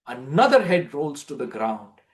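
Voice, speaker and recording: A man narrating as a storyteller, giving a theatrical, expressive dramatic reading of a play. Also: mid-range voice, a little slow.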